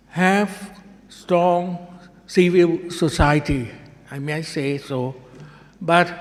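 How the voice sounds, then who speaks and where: A man with a Thai accent moderating a conference, speaking slowly and deliberately with halting repeats. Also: fairly high pitch, big-room sound. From Thailand. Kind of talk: formal conference remarks. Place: large conference hall.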